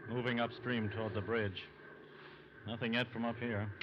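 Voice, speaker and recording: An actor playing a steady, serious federal agent with an American accent, measured and deliberate, in 1940s radio drama style. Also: male voice, mid-range voice, highs cut off, natural voice. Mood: alert. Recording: noisy, band-limited 1940s radio recording.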